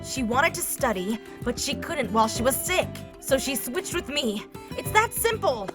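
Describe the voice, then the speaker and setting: A woman's voice: high voice, very animated, normal speed, music in the background. A woman voicing a brash, grumbling teenage schoolgirl with a tomboyish, sarcastic manner in an anime fan dub. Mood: irritated. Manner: blunt, sarcastic, complaining. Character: brash, grumbling boy in a teenage girl's body.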